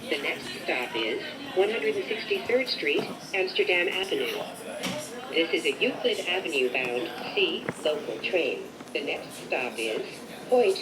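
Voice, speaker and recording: Female transit announcer, slightly low pitch, unhurried scripted phrasing, crowd noise behind her. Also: rough recording. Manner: measured, formulaic announcing in short separate phrases. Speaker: train announcer.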